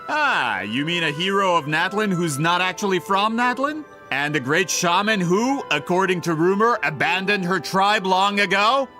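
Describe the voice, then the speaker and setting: An actor playing a smug, greedy businessman villain in a video game, with theatrical, drawn-out gloating. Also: sits high, lively intonation, over music.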